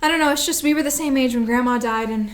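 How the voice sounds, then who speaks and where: Woman acting grieving younger sister, immature, prickly, wounded, higher voice, stage drama reading. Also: fast, close to an everyday voice. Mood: hurt, prickly.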